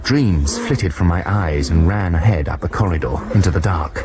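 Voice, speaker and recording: An actor playing a reflective narrator recalling an expedition, with measured, vivid, literary first-person radio narration. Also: male voice, sits low, animated. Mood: weary, dreamy. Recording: 1990s radio broadcast with music behind.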